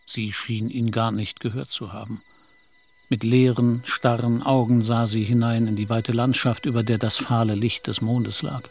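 A man with a mid-pitched, distinctly gravelly voice reads scripted literary narration for a radio play. There is a creaky fry in his tone. Faint music plays behind him. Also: flat delivery, normal speed, a little mellow.

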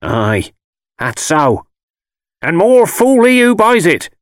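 A man's voice: sits high, very animated, takes it slowly, no room sound, clean studio sound, pitched above the performer's own voice, full character voice. A man voicing a malicious, wheedling old woman who tells fortunes, with rustic dialect speech and ominous insistence, in an audiobook. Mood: curt, dismissive. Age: older. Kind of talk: dramatised audiobook character voice.

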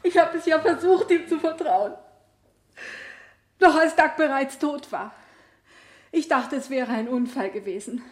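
An anguished wife who feels betrayed, speaking in a high voice. She testifies haltingly yet insistently, full of emotion, in the manner of a vintage radio drama. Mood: wounded, anguished. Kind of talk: 1970 German radio play acting.

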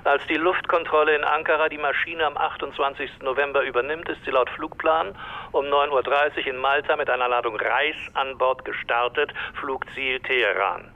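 An actor playing an insistent caller reading from a newspaper over the telephone. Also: male voice, medium pitch, fairly even intonation, normal speed. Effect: telephone filter. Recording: tinny phone line.

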